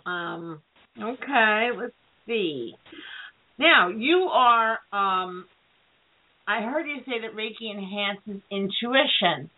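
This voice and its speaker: A woman's voice: medium pitch, expressive, takes it slowly. A woman hosting a radio show, chatting casually and informally with her guest. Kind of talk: casual interview chat. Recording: narrow phone-like internet radio sound.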